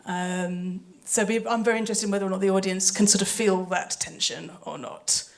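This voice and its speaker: A woman's voice: mid-range voice, fairly even intonation, fairly quick, creaky. A woman speaking thoughtfully on a panel, explaining creative work in a hesitant, explanatory way into a handheld microphone. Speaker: audio drama composer and panelist. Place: live festival panel. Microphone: handheld microphone passed between panelists.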